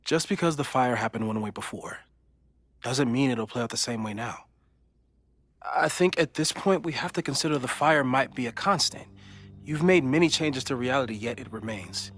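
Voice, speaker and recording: An actor playing a calm, cautious, scientifically minded man in a video game drama, reasoning in precise, formal phrases. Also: medium pitch, normal speed. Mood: analytical.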